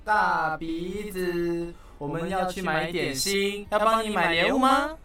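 A woman's voice: fairly low pitch, slow pace. A woman playing a jeering, taunting school classmate in an audio drama. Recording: distant, with loud music and crowd.

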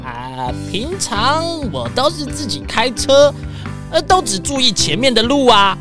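A woman playing a fussy, excitable rich man who frets and whines in a children's audio detective drama. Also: a little high, measured pace, over music. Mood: awed. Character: fussy, panicky rich man frantic about his sports car.

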